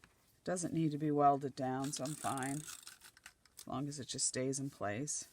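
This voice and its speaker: A middle-aged woman from Nova Scotia, chatting casually as she works on a craft project, her voice slightly croaky and dry. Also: very deep voice, fairly even intonation, measured pace, a little mellow, slight background noise. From Nova Scotia, Canada. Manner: chatty, thinking aloud while gluing collage pieces. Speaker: craft channel host.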